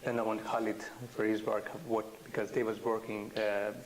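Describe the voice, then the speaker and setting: A man chairing a meeting, speaking informally in halting phrases full of fillers. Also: lowish voice, level tone. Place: indoor meeting. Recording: hissy, slightly noisy meeting recording.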